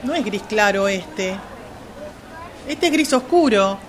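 A woman's voice: mid-range voice, lively intonation, slow, a crowd in the background, background noise. A woman with a Rioplatense Argentine accent playing a polite but exacting fitting-room customer in a radio play.

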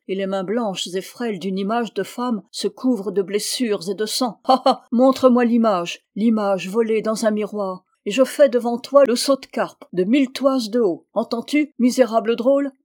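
Woman acting a furious, loud little old man, high-pitched. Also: a little slow, few pauses, dry recording, studio quality, close to an everyday voice. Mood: furious taunting. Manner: shouting, exclamatory, mocking laughter.

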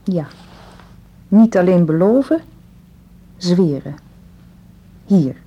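An actress playing a frightened, insistent young English woman in a radio drama, speaking earnestly and deliberately. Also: fairly low pitch, lively intonation, mellow, slightly rough, full character voice. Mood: urgent, insistent. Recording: dull, lo-fi radio drama recording.

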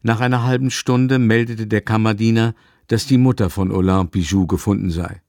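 An actor as the urbane narrator of a radio play from an old novel, measured, literary and wry. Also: male voice, lowish voice, fairly even intonation, a little mellow, no room sound, studio quality, lightly acted. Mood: matter-of-fact. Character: urbane storyteller narrating a 19th-century novel. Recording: music playing under the voice.

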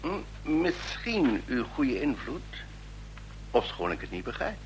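An actor playing a puzzled, courteous physician in a radio drama, formal and hesitant. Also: male voice, medium pitch, sing-song, a little slow, rough recording. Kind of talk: Dutch radio drama acting. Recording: faint noise and hum, old radio recording.

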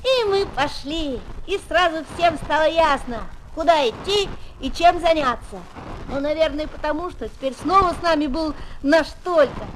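Woman as a very high-pitched, spirited little boy. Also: moderate pace, lightly acted. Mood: storytelling. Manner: expressive, teasing, childlike. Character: spirited young schoolboy, narrating friend of Tolka. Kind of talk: 1970s Soviet children's radio play. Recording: noisy old 1970s flexi-disc record.

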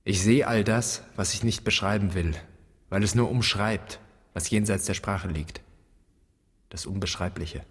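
Low, husky anguished son. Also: male voice. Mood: grave, anguished. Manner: fragmentary, questioning, poetic delivery with deliberate phrasing. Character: anguished son haunted and accused by his father's wartime guilt. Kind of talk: stylized German radio drama monologue.

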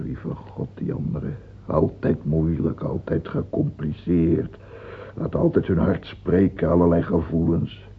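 An actor in a Dutch radio play, playing an anxious, world-weary middle-aged lover with a gravelly, husky voice and a halting, brooding manner. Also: male voice, low-pitched, full character voice. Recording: muffled tinny old radio play recording.

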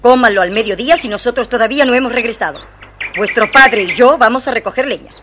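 Harsh stepmother, played by a woman, highish voice, domineering, curt, audio storybook, slightly noisy old recording. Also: fast, lightly acted. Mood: curt, cold. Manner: bossy, curt commands. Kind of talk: dramatized children's audio storybook acting.

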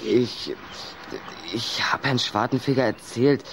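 A man's voice: mid-range voice, fairly even intonation, slow pace, noisy background, close to an everyday voice. A man playing a sceptical, teasing schoolboy with a questioning, hesitant manner in a children's radio play.

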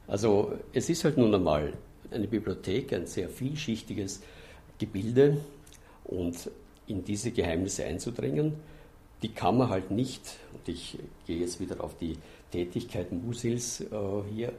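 A man's voice: lowish voice, expressive, measured pace, mellow. A man with an Austrian accent giving casual spoken answers in an interview. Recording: echoey room with faint ambient noise.

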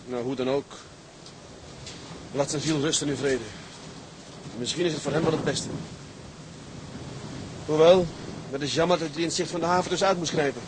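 A man's voice: on the high side, normal speed, long pauses, close to an everyday voice. A man acting a brooding, plain-spoken ship's captain, tender toward his wife, in a radio drama. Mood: grave, urgent. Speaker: actor. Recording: noisy radio play with background ambience.

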